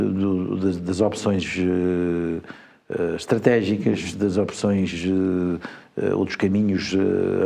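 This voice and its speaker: A man from Portugal speaks with a European Portuguese accent, his voice gravelly and dark. He is a studio interview guest who explains at length, pausing often on drawn-out hesitations. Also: sits low. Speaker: guest, education group administrator.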